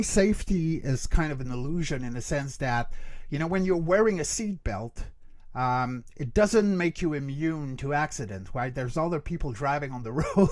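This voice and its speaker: A middle-aged man speaking as an expert interview guest, explaining earnestly and emphatically and often checking that the listener follows. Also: medium pitch. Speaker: interview guest, creature voice actor and vocal coach.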